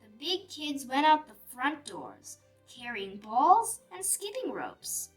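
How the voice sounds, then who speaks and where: A woman reading a picture book aloud for young children in an animated, deliberate storytelling manner. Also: sits high. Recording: distant mic with music behind. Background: music.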